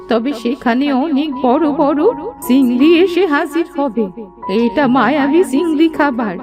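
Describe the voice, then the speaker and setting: High-pitched kindly old ghost granny. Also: female voice. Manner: doting, folksy, reassuring. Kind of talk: children's cartoon dialogue. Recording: music behind the voice.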